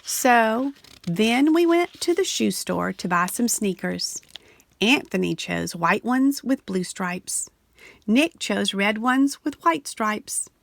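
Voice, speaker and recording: Gravelly-voiced woman reading a picture book aloud. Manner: animated storytelling, slowing and dropping low on the refrain. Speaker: storybook reader. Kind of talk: expressive read-aloud of a children's picture book.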